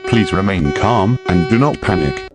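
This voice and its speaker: Low-voiced synthetic man, formal emergency announcer. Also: big pitch swings, moderate pace, loud music behind the voice. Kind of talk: scripted emergency broadcast announcement.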